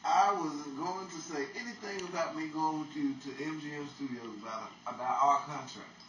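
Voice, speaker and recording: Glib, coaxing male sidekick acted by a man, 1940s radio comedy; highish bright voice, echoey room. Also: moderate pace, lightly acted. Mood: blustering. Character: eager, scheming male sidekick, glib and chummy. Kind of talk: 1940s old time radio comedy acting. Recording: echoey, distant mic with faint noise.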